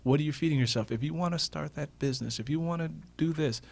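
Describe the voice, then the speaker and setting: A man's voice: fairly high pitch, quick pace, creaky, darkish tone. A man speaking as a radio interview guest in a motivational style, emphatic and repeating phrases for effect. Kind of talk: motivational talk on a radio show.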